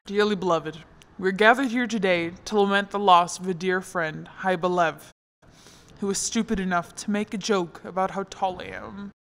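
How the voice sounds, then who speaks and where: A woman's voice: mid-range voice, moderate pace, vocal fry, natural voice. A woman playing a solemn, pompous, vengeful anime character in an amateur comic dub. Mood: mock solemn. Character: solemn, vengeful short anime character giving a mock eulogy. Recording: long reverb, noisy background.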